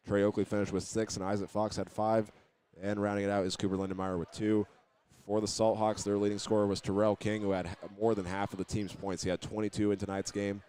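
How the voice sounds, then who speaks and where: A man's voice: fairly low pitch, darkish tone. A man giving postgame basketball radio commentary, reading out statistics with some hesitation. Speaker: sports play-by-play announcer.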